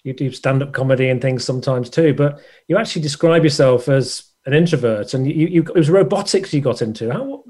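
A man's voice: medium pitch, fairly quick, hardly any pauses, a little mellow, slightly rough. A man hosting a casual interview, asking questions in a hesitant, rambling way. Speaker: interviewer, host.

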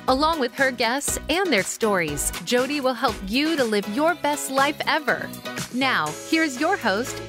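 A woman reading a scripted show intro as the announcer, with an upbeat, polished delivery. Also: on the high side. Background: music bed.

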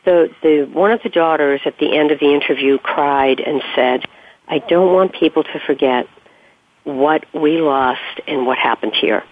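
A woman hosting a radio interview, soft-spoken and affirming, with lots of murmured agreement. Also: low voice, unhurried, a little hiss or hum. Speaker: radio show host. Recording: dry tinny phone-like sound.

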